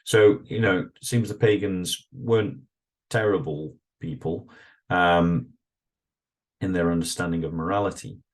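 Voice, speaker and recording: A man's voice: sits low, steady tone, measured pace. A man reading passages aloud and commenting on them in a thoughtful, hesitant way, with frequent fillers and restarts. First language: English. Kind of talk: reflective solo commentary with read-aloud passages.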